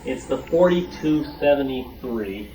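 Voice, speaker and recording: A man's voice: medium pitch, animated, unhurried, dark tone. A man giving an informal, informative show-and-tell talk in a conversational way. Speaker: head of a library, club speaker. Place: club meeting room. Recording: noisy live room recording.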